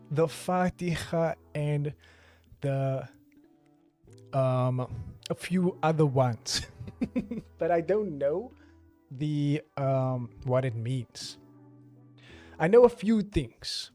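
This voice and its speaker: A man's voice: on the high side, expressive, very slow, pauses often, warm tone, soft background music. A South African man in his twenties, chatting casually on a livestream in a joking, rambling way and talking straight to his chat. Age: 20s. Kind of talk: casual live stream chat.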